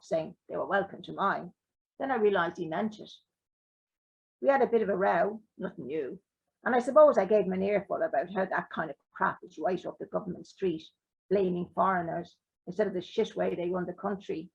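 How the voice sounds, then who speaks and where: A blunt working-class man who swears freely, read by a woman in her own voice. The voice is husky with a gravelly edge. The sound is a dull, lo-fi live recording. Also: lowish voice, a little fast, heavily acted. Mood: gruff, blunt. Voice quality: rough, sweary talk.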